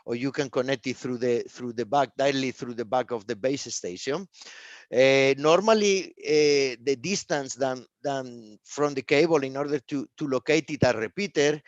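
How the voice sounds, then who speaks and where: A man who is not a native English speaker gives a live technical product presentation, speaking haltingly and often repeating words and phrases. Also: mid-range voice, no room sound, highs cut off.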